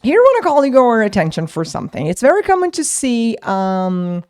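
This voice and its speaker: A woman's voice: a little high, huge pitch swings, normal speed, a little mellow. A young woman whose first language is Portuguese, teaching English in a casual online lesson, talking in a chatty way with many fillers and restarts.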